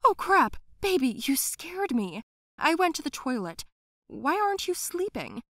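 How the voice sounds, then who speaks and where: A woman voicing a scheming middle-aged nanny who talks high and flustered, evasive and then cold. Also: big pitch swings, moderate pace, fairly bright tone, no echo, studio quality.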